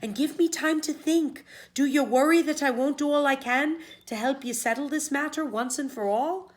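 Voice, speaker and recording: A woman voicing a gentle, sly, composed storybook wife with a sweet, coaxing manner. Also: high-pitched, normal speed, pitched above the performer's own voice, close to an everyday voice. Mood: brisk, reassuring. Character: gentle, sly, reassuring Irish giant's wife.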